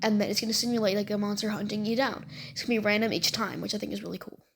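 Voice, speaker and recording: A woman's voice: medium pitch, steady tone, rapid-fire, creaky. A woman giving casual gameplay commentary and walkthroughs as a video creator, using informal gamer slang. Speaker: video creator and game level maker. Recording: music under the voice. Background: music.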